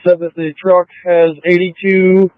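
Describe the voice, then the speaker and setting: A male police officer calling in over scanner radio, spelling names in phonetic code in a flat, routine way. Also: high voice, unhurried, clean tone, no room sound, phone-quality sound.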